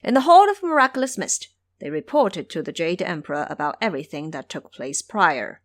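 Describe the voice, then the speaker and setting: A woman narrating an audio drama as an expressive, scripted storyteller. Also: lowish voice, brisk, no echo.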